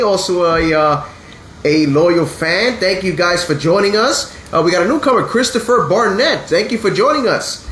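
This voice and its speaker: A man's voice: sits high, fairly bright tone. Congested man hosting a wrestling podcast. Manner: earnest and blunt, swears when upset. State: congested with a sinus infection. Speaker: podcast host. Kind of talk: casual wrestling podcast chat. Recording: echoey room with faint hum.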